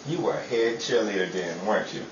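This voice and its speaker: A man's voice: on the low side, a little fast, lightly acted. A man playing a bewildered, well-meaning husband in an earnest old-time radio drama style. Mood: puzzled. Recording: roomy, distant, muffled, hissy amateur recording.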